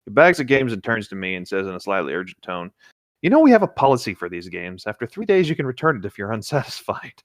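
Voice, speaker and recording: A man's voice: fairly low pitch, very animated, fast talker, no echo, studio quality. A man casually reading a story aloud as co-host, playful and jokey, breaking into chatty banter. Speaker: co-host reading and riffing on internet horror stories.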